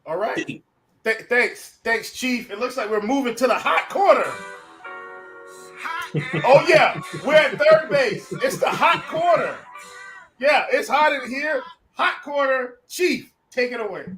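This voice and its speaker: A male show host passing the floor to guests, giggly and excitable. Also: very high-pitched, measured pace, faint music behind, poor audio. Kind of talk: playful show hosting.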